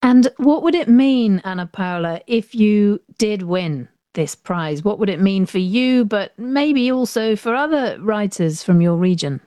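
Mellow-voiced woman news presenter. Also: medium pitch, lively intonation, no echo. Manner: measured, clear broadcaster delivery. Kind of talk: broadcast news presenting and interviewing.

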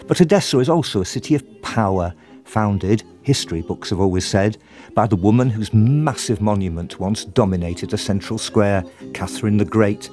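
A male reporter narrating a radio documentary and putting questions to interviewees in a measured way. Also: fairly low pitch, a little mellow.

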